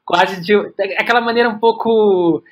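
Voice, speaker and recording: A Brazilian man talking reflectively as an interview guest, haltingly, repeating words as he searches for the next one. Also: high voice. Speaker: podcast guest, playwright and director.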